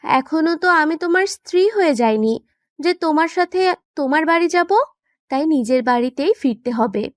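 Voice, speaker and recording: A woman's voice: sits high, lively intonation, normal speed, dry recording, studio quality. A woman playing a pouty, teasing young woman in love who scolds and complains in a romantic audio story. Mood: teasing, stubborn. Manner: complaining, playfully indignant.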